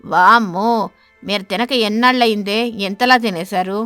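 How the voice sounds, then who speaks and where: A woman voicing a stern, stingy stork husband who berates and lectures in an animated bird story. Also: mid-range voice, moderate pace, no echo, faint music behind, close to an everyday voice. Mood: angry, panicked. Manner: berating, sharp-tongued, lecturing.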